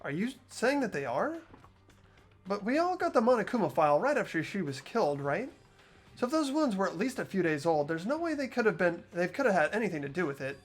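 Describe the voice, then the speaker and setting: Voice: medium pitch, big pitch swings, a little fast, faint music behind. A performer voicing an earnest, hesitant teenage boy student who stammers and questions things. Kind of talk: amateur voiced game playthrough.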